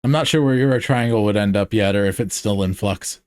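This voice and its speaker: A man's voice: lowish voice, a little fast, a little mellow. A man in casual podcast conversation, enthusiastic and slangy, often laughing as he explains his ideas. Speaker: podcast co-host.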